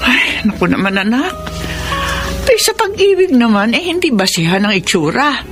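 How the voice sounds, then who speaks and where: A woman's voice: a little high, big pitch swings, moderate pace, over music. An actress playing a caring, encouraging middle-aged mother in a Filipino radio drama, coaxing in a Filipino accent.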